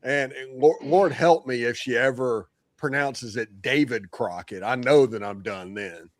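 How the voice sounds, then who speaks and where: A man from Tennessee co-hosting a casual sports podcast, telling stories quickly. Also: medium pitch, dry recording. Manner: quick, storytelling. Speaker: podcast co-host.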